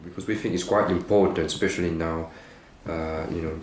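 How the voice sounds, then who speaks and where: A man's voice: low-pitched, steady tone, fairly quick, echoey room, a little hiss or hum. A man speaking hesitantly in a documentary interview, with many fillers and restarts. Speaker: father interviewed.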